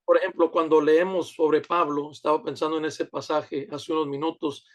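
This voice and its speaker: A fairly high-voiced man from the United States, a pastor answering interview questions. He talks in an earnest, preaching way, stopping and restarting his sentences. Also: flat delivery, moderate pace. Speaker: pastor, guest. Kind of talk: conversational interview.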